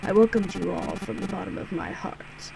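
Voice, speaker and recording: A woman voicing a cold, eerie masked male captain who speaks harshly and quietly. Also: medium pitch, normal speed, vocal fry, background noise. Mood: cold menace.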